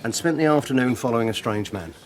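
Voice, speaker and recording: A man's voice: mid-range voice, fast, natural voice. An actor playing a chummy, boastful, zealous party-loyal English father in a radio drama, with an eager, bluff, stammering delivery. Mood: proud, gleeful. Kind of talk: naturalistic radio drama acting. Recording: crowded, busy background chatter.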